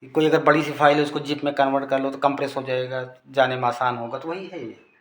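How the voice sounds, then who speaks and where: A man's voice: medium pitch, fairly even intonation, quick pace. A man with an Indian accent giving an informal technical lesson, explaining and repeating key terms. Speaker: teacher. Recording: echoey room, background noise.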